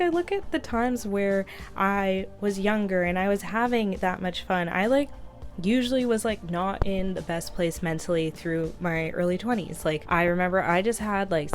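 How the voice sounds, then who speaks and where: Chatty twenty-something woman vlogging. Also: medium pitch. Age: 20s. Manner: chatty, fills speech with "like", rambling. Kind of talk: casual reflective vlog monologue.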